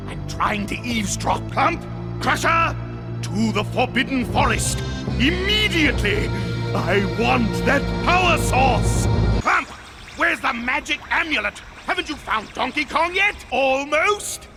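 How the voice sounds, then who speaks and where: Cartoon villain: vain, hot-headed lizard monarch, theatrical, slow grandiose delivery, loud music behind. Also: male voice, extremely high pitch, big pitch swings, exaggerated voice. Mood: impatient, angry. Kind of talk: exaggerated cartoon voice acting.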